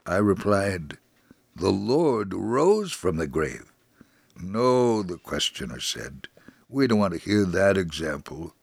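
A man reading a scripted first-person faith story in a measured, solemn manner, as a dramatic narration. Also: deep voice, big pitch swings, gravelly, breathy edge, dry recording. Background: music.

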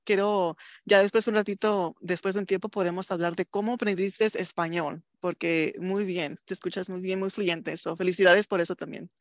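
A woman's voice: lowish voice, fairly even intonation, moderate pace, hardly any pauses, no echo. A woman hosting a community radio interview, effusive and congratulatory in manner. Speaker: radio host. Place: recorded over a video call.

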